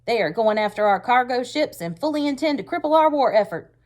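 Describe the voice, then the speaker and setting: A woman playing a fierce Navy commander, raised and forceful. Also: fairly high pitch, animated, a little fast, pitched above the performer's own voice, close to an everyday voice. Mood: grave, urgent. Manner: stern, forceful, emphatic speech-making. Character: fierce, hard-driving male Navy commanding officer in wartime. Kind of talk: read-aloud storybook character voice.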